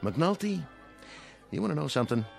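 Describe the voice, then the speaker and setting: An actor with an American accent playing a fed-up bartender in a radio drama, dry, sarcastic and needling. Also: male voice, on the high side, very animated, moderate pace, creaky, faint music behind.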